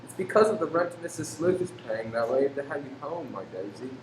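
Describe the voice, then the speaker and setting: A man's voice: medium pitch, lively intonation, a little fast, lightly acted. Man acting genial father, distant from the mic. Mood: deferential. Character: genial, deferential father and husband of a landlady. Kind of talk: radio play acting. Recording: distant, noisy, echoey room.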